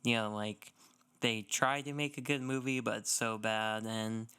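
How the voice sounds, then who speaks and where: A man's voice: fairly low pitch, steady tone, a little slow, sharp tone, smooth. A man co-hosting a podcast in casual chat, easygoing and agreeable. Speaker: podcast co-host.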